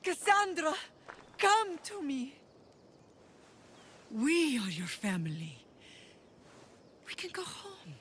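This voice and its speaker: An anguished middle-aged mother in a video game drama. Her voice is high and swoops widely, pleading and emotional, over faint ambience. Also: huge pitch swings, slow pace, long pauses, fairly bright tone, slight background noise, exaggerated voice. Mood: tender pleading.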